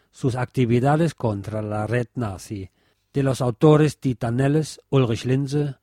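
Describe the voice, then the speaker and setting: A man reads scripted narration from a book in a formal, measured way. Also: medium pitch, a little mellow, dry recording, studio quality. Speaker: narrator reading a book extract.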